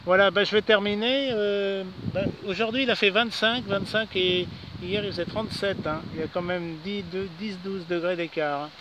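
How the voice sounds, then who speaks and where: A man's voice: high voice, steady tone, normal speed, hardly any pauses. A man chatting casually as an amateur radio operator outdoors. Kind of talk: casual radio contact chat. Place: outdoors in a park. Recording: band-limited outdoor radio recording, faint noise.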